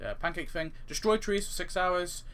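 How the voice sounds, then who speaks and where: A man's voice: sits high, normal speed, fairly bright tone, clean tone. A man giving casual, off-the-cuff gaming commentary in a rambling way, often correcting himself mid-sentence. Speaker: gaming video creator. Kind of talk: casual off-the-cuff commentary on game update ideas.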